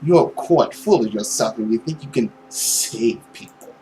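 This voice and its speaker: A man voicing a suave, mocking, ancient villain from a visual novel, with a theatrical, drawn-out and sardonic delivery. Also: mid-range voice, lively intonation, dark tone, a little hiss or hum, voice pushed down, lightly acted. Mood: condescending.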